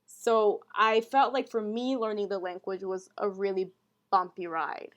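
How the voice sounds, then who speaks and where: A young woman co-hosting a podcast, telling stories in a relaxed, casual way and often saying "like". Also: mid-range voice, a little slow, highs cut off. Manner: relaxed storytelling, heavy use of "like". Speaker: podcast co-host. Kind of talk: casual chat.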